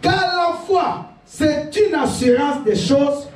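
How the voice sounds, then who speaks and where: A male pastor, preaching a sermon, shouting his key points and laughing through his stories. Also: very high-pitched, takes it slowly. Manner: exhorting, laughing asides, shouted declarations. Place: church. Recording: echoey church hall, picked up from a distance. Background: congregation responding.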